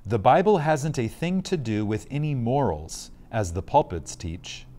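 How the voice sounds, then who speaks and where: A man giving a reverent, scripted reading of a spiritual lecture, solemn and emphatic, with deliberate pauses. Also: medium pitch, animated, mellow.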